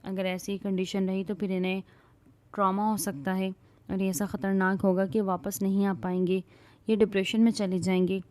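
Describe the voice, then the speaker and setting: A woman's voice: medium pitch, little pitch movement, darkish tone, no echo, natural voice. A male doctor earnestly warning a patient's husband, as a woman narrator voices him. The sound is dry and close. It's an audio-story reading. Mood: concerned. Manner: earnest, instructing. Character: male doctor giving firm instructions.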